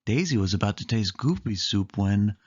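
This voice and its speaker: A storyteller reading a children's book aloud in an animated way, lingering on listed words. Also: sits low, moderate pace, husky, highs cut off.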